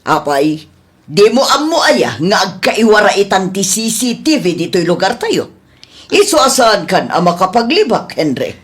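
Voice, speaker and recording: A woman playing a stern female village captain in a comedy drama, admonishing and questioning deliberately. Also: mid-range voice, sing-song, lightly acted. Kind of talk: Ilocano comedy audio drama acting.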